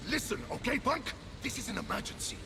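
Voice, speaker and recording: A man's voice: very high-pitched, big pitch swings, fairly quick, creaky, noisy background, full character voice. A man playing a vain, blustering, touchy war god in a video game, theatrical and easily flustered. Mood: sheepish, confiding. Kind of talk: video game cutscene voice acting. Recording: music behind the voice.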